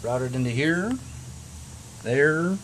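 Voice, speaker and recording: A man's voice: mid-range voice, lively intonation, extremely slow. Casual, blunt DIY man, noisy recording. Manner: colloquial, blunt, grumbling asides. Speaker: DIY how-to video maker. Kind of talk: casual hands-on tutorial chat. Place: in and around a cargo van.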